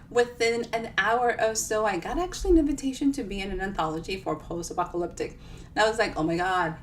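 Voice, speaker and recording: A woman author hosting a casual live book reading, chatting to viewers in a playful, self-deprecating way. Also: medium pitch. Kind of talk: casual livestream chat to viewers. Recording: distant mic with clear background noise.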